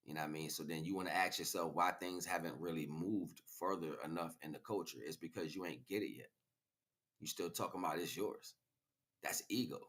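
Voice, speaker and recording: A man's voice: low voice, fairly quick, a bit bright. An American man delivering an impromptu spiritual monologue to listeners, preachy and slangy, repeating phrases for emphasis. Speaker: spiritual livestream host.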